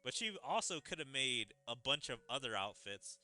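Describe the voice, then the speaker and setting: A man's voice: mid-range voice, very animated, normal speed, sharp tone, faint music behind. A man giving casual commentary on a video game, reacting to the story as he plays. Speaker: gaming video creator playing and reacting to a story event.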